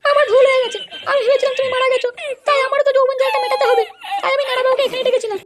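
A woman's voice: very high voice, flat delivery, racing, heavy background noise. A woman voicing a defensive, pleading wife in a comedy cartoon, full of excuses.

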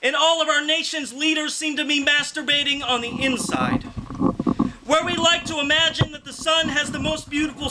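A man in his thirties giving a loud, emphatic poetry reading, pushing out phrases in a declamatory shout at a live indoor reading. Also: extremely high pitch, slight background noise, rough recording. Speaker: poet, featured reader.

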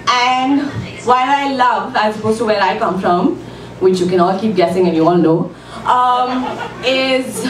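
A woman's voice: on the high side, a little hiss or hum. A woman from India in her thirties with an Indian accent, doing a self-deprecating stand-up set with ums and little laughs on a small club stage. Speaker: stand-up comic at an open mic. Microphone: mic on a stand, filmed from the room. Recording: echoey club room, voice from far off.

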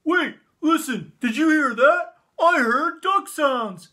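An American man voicing an excitable, insistent cloud-watcher in a picture book, exclaiming and arguing playfully. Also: very high voice, slow, pitched above the performer's own voice, full character voice. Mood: excited.

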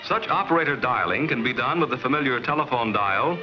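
An American man giving measured, explanatory scripted narration in the style of a 1950s industrial film. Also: mid-range voice, expressive, music in the background, rough recording.

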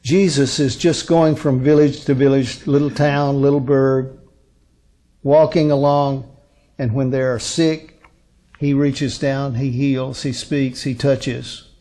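A man in his sixties preaching as a pastor, teaching from scripture in a deliberate, emphatic manner with rhetorical questions and pauses. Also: medium pitch, level tone, dark tone. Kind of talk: sermon, expository Bible teaching from a lectern. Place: indoor lectern on a curtained stage.

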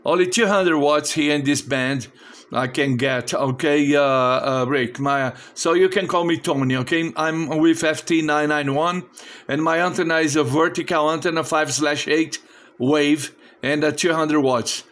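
High-pitched Brazilian man on ham radio. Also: fairly even intonation, a little slow, fairly bright tone. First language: Portuguese. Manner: friendly, non-fluent English. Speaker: amateur radio operator. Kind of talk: casual ham radio contact. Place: indoor home radio station.

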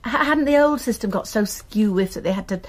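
An actress playing a brisk, inquisitive college nurse and amateur sleuth in a radio drama. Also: female voice, medium pitch, lively intonation, lightly acted. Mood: curious. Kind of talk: BBC radio drama acting.

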